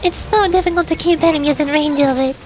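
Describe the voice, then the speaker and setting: A woman's voice: high voice, quick pace, a little mellow. A woman streamer giving casual gameplay commentary, playfully muttering and exclaiming to herself. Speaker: VTuber streamer. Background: faint game music.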